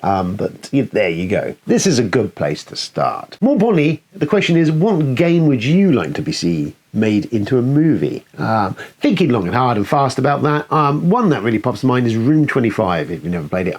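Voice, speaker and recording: A man's voice: mid-range voice, a little mellow. A British man hosting a board game video, commenting in a casual, rambling, sweary and self-deprecating way. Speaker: board game review show host.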